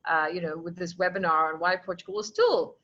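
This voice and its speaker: A woman from Singapore, a company CEO, speaking on a video call panel in an animated, reasoned way. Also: on the low side, a little fast. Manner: animated, reasoned, laughs often. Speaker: company CEO, association president. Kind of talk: virtual panel discussion. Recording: dull lo-fi video call audio.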